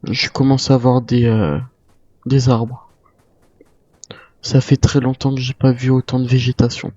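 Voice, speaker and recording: Man, mellow voice, wary survivor role-play, video game, muffled roomy recording. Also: medium pitch, flat delivery, unhurried. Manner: speaks in character as a wary survivor. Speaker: player role-playing a zombie apocalypse survivor. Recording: muffled lo-fi mic, roomy echo.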